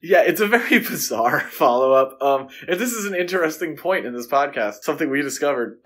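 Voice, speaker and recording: A man co-hosting a podcast, chatting casually about films in a halting, conversational way. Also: a little high, animated, a bit bright. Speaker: podcast co-host. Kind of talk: casual film discussion.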